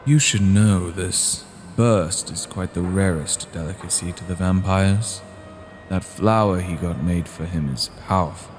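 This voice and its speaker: A man playing a cold, scheming vampire clan leader in a fantasy audio drama, speaking in a deliberate, formal and grave way. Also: low-pitched, a little hiss or hum.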